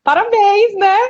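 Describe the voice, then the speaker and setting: High-voiced woman host, mixed Brazilian accent. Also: muffled recording. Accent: mixed São Paulo and Northeast Brazilian. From São Paulo, Brazil. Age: 30s. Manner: friendly, chatty, laughs often. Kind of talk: casual live chat. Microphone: video call.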